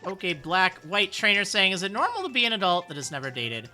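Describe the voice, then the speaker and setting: A man with a high, youthful-sounding voice streaming a game, reading viewer messages and answering with rambling encouragement. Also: very animated, fairly quick, bright, forceful tone, faint music behind. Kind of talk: casual live-stream chat.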